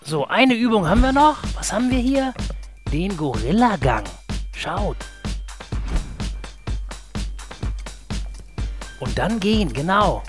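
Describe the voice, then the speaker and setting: A woman voicing an excitable, playful, cheese-loving mouse who hosts a children's show with an animated, eager delivery. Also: mid-range voice, moderate pace, natural voice. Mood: cheerful, teasing. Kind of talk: lively children's show presenting. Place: small indoor TV studio set. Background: background music.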